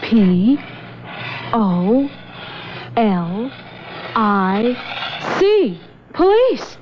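A woman's voice: fairly high pitch, very animated, drawn-out words, noisy background, full character voice. An actress playing an anxious woman reading letters from a ouija board in a radio drama. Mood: tense. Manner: urgent, spelling out letters. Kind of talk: 1970s radio drama acting. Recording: dull old radio broadcast.

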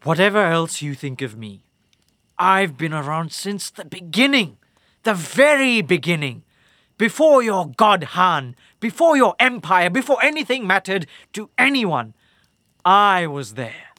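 A man's voice: high voice, big pitch swings, unhurried, pushed and bright, dry recording, clean studio sound, full character voice. A man playing a sly captive storyteller in an audio drama, taunting and persuasive with a theatrical delivery. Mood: sly, intense. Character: sly, ancient male captive storyteller bargaining for life.

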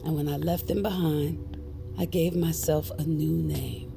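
An actress playing a guarded, earnest middle-aged witch on the run from her crime family, confiding in an intimate audio drama with a gravelly voice. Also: low voice, fairly even intonation, unhurried. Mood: pained, confessional. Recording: music under the voice. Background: music.

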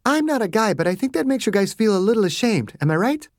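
A narrator voicing a confident, no-nonsense woman doctor, with a much higher voice than the narration. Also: sing-song, darkish tone, no room sound, studio quality. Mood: firm. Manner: brisk, firm.

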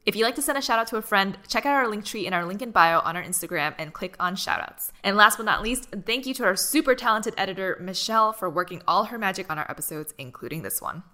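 A woman's voice: medium pitch, expressive, fast, hardly any pauses, pushed and bright. A woman co-hosting a podcast, playful and teasing in casual chat. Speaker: podcast co-host.

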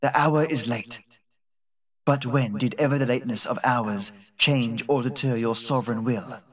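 A man reading an old deaf servant woman's lines in a low, harsh, slow, bitter voice for an audiobook. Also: a bit bright, far from the mic, close to an everyday voice. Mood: grumbling.

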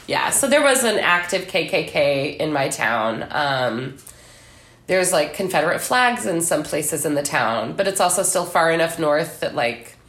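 A woman's voice: deep voice, fairly bright tone, roomy sound. An American woman from southern Illinois, an author speaking as a podcast guest. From southern Illinois, United States. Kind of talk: literary reading and interview talk.

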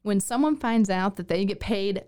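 A woman's voice: medium pitch, normal speed, clean tone. A woman with a mid-range voice co-hosts a podcast, chatting freely. She laughs often and fills her talk with "like.". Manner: laughs a lot, animated, says "like" often. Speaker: podcast co-host. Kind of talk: casual chat.

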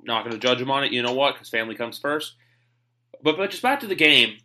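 A man hosting a sports podcast in casual chat, talking on and on with firm opinions. His voice sits at an ordinary middle pitch. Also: lively intonation, fast talker, sharp tone, slight background noise. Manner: chatty, rambling, opinionated. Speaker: podcast host.

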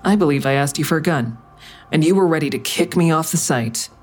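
An actress playing a hard-edged, skeptical woman interrogating an enemy in an audio drama, with a husky voice and terse, pointed, scornful questions. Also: sits low, brisk, a little mellow. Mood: sardonic. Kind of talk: full-cast audio drama acting.

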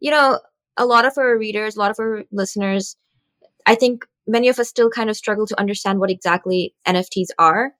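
A woman's voice: mid-range voice, fairly quick, fairly bright tone, no echo. Woman interviewer, dry close sound, asks her questions in a loose and searching way.

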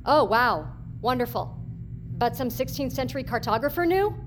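Actress as a high-voiced, combative woman scientist. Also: lively intonation, moderate pace, faint music behind, natural voice. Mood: scornful. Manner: argumentative, sarcastic, emphatic. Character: combative, skeptical woman marine biologist, indignant and outspoken. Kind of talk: audio fiction drama acting.

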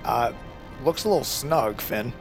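Laid-back male adventurer, slow casual delivery, lively intonation, music behind, audio drama. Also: mid-range voice. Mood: uneasy bravado. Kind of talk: naturalistic audio drama acting.

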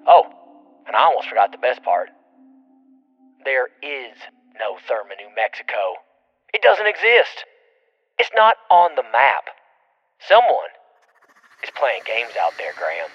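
A man's voice: mid-range voice, huge pitch swings, unhurried, pauses often. An actor playing a spooked middle-aged tipline caller, telling his story in colloquial, sweary language as an audio drama voicemail. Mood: ominous. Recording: lo-fi radio-like phone message, music behind. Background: music.

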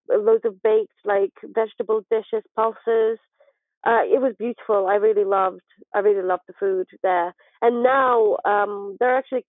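A woman's voice: mid-range voice, no echo. A young woman from southern Ukraine, chatting as an interview guest with lots of restarts and fillers. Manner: hesitant, full of restarts and fillers. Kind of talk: casual interview chat. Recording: dry, phone-like line.

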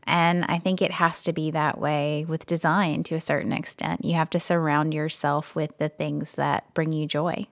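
A woman co-hosting a personal finance podcast, reading a listener's letter aloud in a steady, measured way. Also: low-pitched, dry recording, clean studio sound. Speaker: podcast co-host.

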